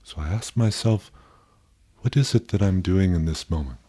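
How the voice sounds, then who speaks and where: Low, mellow male meditation guide. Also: breathy edge. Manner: gentle, contemplative, pausing, self-questioning.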